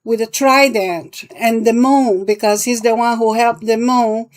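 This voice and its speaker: Slow-talking Brazilian woman, casually explaining. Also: fairly high pitch, fairly even intonation. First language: Portuguese. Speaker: palmistry vlogger and teacher.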